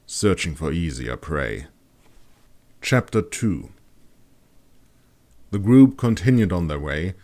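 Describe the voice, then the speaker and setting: A man reading scripted fantasy audiobook narration in a dramatic, deliberate storytelling manner. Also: low-pitched, darkish tone.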